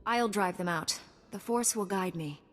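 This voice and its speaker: A woman voicing a calm, solemn and selfless mystic knight in a video game, speaking formally. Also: medium pitch, a little slow, in a large hall, close to an everyday voice. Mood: resolute.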